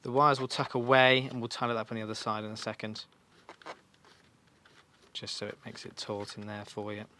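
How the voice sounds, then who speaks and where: A man's voice: lowish voice, monotone, brisk, sharp tone, a little hiss or hum. A man giving an informal, practical step-by-step demonstration in a reassuring, instructional way. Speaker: motorcycle shop staff giving a fitting tutorial.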